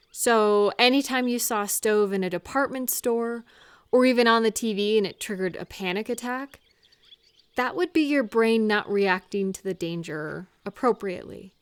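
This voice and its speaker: A woman playing a caring, slightly awkward friend in a comfort audio roleplay, speaking one-on-one in a gentle, earnest, hesitant and reassuring way. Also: mid-range voice, no echo, lightly acted.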